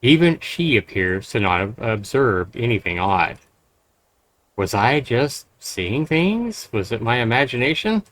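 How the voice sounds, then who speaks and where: A man's voice: medium pitch, sing-song, a little slow, fairly bright tone, no echo. A man streaming a game, reading its text aloud and adding blunt, profane casual commentary.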